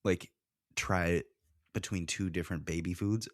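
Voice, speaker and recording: A man's voice: low voice, fairly even intonation, moderate pace, slight background noise. A man co-hosting a podcast in casual, slangy, informal chat. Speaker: podcast co-host.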